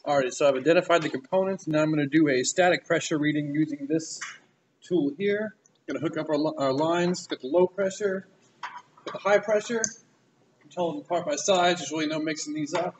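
A man talks through a technical demonstration. His voice sits a little high, and he explains in an informal, halting way. The amateur camera audio carries plainly audible noise. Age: 20s. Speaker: automotive student. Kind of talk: informal hands-on technical walkthrough.

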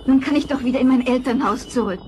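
An actress playing a meek, grateful young girl in a fairy-tale radio play, polite and gentle. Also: high-pitched, fast, music in the background, natural voice. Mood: overjoyed wonder. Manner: polite, gentle, wide-eyed.

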